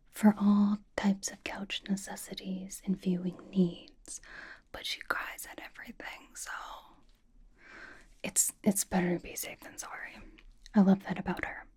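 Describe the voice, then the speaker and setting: A woman voicing a young female K-pop idol who is dryly doting and comfort-obsessed, over-explaining playfully in a hushed, casual ASMR roleplay whisper. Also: medium pitch, level tone, measured pace, breathy edge. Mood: cozy, doting.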